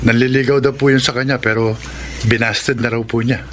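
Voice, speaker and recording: A man's voice: medium pitch, flat delivery, brisk, fairly bright tone, slight background noise, lightly acted. An actor playing a polite, deferential young man in a radio drama. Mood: curious, respectful. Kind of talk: Filipino radio drama acting.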